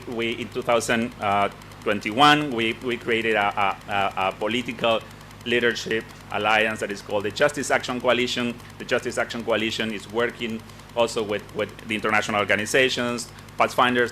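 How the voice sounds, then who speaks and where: A lowish, forceful male voice with an Argentine accent, that of a native Spanish speaker. He gives a semi-formal conference speech off the cuff, repeating words as he hunts for phrasing. There is some faint noise behind him. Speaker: program director giving a launch speech. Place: conference event with participants.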